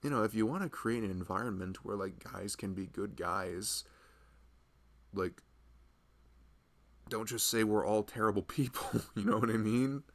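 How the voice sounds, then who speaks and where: Deep, gravelly young husband, played by a man. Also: natural voice. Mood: earnest. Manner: rambling, halting, earnest, informal. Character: loving, chatty, earnest husband of a pregnant wife. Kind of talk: improvised ASMR roleplay, casual intimate chat.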